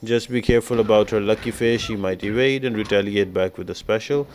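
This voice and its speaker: A man with a fairly low voice hosts a casual gaming guide. He explains tactics in a step-by-step way, and there is faint noise in the recording. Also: steady tone, brisk. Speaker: gaming video host. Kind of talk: casual game guide commentary.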